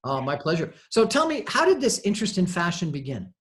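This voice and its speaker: A man hosts a conversational radio interview in a somewhat high-pitched voice. His delivery is halting and hesitant as he introduces and questions. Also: huge pitch swings, a bit bright. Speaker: radio show host.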